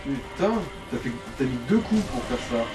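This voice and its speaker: A man's voice: medium pitch, animated, normal speed, music in the background. A man in informal gaming chat, explaining things to the player as a co-commentator, with the odd swear word. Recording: distant mic with faint background noise.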